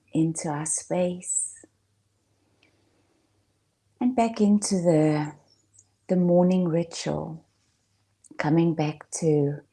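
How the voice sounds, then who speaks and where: A woman speaking as a meditation guide, in a soft, breathy, hushed voice with long pauses. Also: deep voice. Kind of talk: gentle guided meditation talk.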